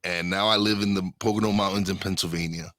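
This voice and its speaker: A man's voice: deep voice, fairly even intonation, fast, a bit bright, no echo, studio quality. A man hosting a podcast in a casual, chatty interview style. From New York, USA. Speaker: podcast host.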